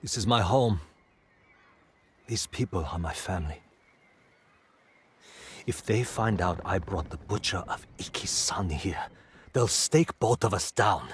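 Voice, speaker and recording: An actor playing a bitter, wary raider in a dramatic video game, with a gruff, sardonic, blunt manner. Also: male voice, sits low, a little slow, pauses often, slight background noise. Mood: heavy, wary.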